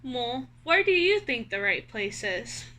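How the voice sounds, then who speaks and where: Woman voicing an earnest, high, bright little squirrel. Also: sing-song, measured pace, heavily acted. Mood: earnest questioning. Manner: insistent, questioning. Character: earnest, puzzled male squirrel seeking a home. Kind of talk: children's storybook character voice.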